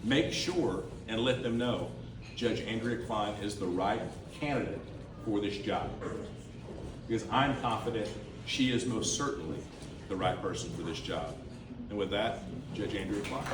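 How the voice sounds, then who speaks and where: A man's voice: fairly low pitch, measured pace, background noise. A man giving an earnest campaign speech to a crowd as the campaign treasurer. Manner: earnest, repeats key words for emphasis. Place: large indoor room with a crowd. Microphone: room microphone heard from a distance. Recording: echoey room recorded from far off.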